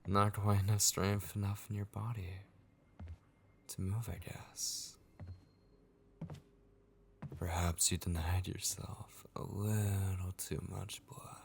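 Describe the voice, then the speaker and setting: A man voicing a sinister, possessive figure taunting a captive vampire in an ASMR horror roleplay, husky and often dropping into a whisper, coaxing with mock tenderness. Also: low voice, flat delivery, a little hiss or hum, full character voice. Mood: mocking, watchful. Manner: slow, coaxing, mockingly tender. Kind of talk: ASMR horror roleplay, intimate dramatic monologue.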